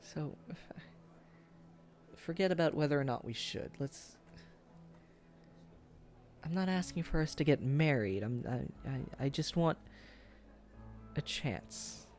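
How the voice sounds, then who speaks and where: A man playing a tipsy, earnest young man wooing his old sweetheart in a boyfriend roleplay, speaking casually and haltingly. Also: fairly high pitch, darkish tone, faint music behind. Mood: tender, pleading. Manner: halting, tender, casual, often chuckling. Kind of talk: boyfriend ASMR roleplay acting.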